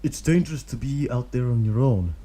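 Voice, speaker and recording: A man reading aloud and voicing a calm, grim adult ninja who speaks flatly and keeps his guard up. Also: medium pitch, brisk, slight background noise, voice pushed up, lightly acted.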